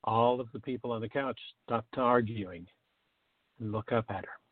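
A man with a gravelly, creaky voice reading scripted narration for a comic radio play, on a tinny, narrow recording. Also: medium pitch, normal speed, no room sound. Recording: tinny, narrow phone-like sound.

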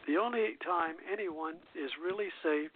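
Older man, high-pitched, on a phone-like line. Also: moderate pace, slight background noise. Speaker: radio show host. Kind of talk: reading quotes and chatting on air. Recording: muffled phone-like radio line.

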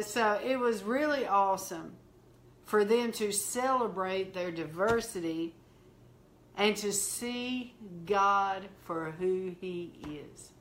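Slow-speaking Southern woman minister. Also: mid-range voice, fairly bright tone, a little hiss or hum. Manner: slow, heartfelt preaching delivery. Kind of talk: testimony-style storytelling in conversation.

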